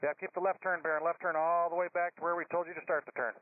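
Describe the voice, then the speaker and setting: Male air traffic controller. Quick, directive, casual delivery through a thin, tinny aviation radio channel. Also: on the high side, level tone. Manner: directive, informal, encouraging. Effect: radio transmission.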